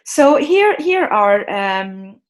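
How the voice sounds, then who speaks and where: Woman, online workshop presenter, friendly and halting, video-call mic. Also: medium pitch, big pitch swings. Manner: hesitant, many ums and restarts, friendly instructional. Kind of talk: live online workshop on a shared screen.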